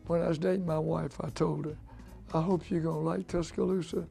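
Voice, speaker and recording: Very creaky man in his eighties. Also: a little high, flat delivery, brisk, warm tone, soft background music. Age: 80s. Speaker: interviewee, former college basketball player. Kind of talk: reminiscing in casual interview.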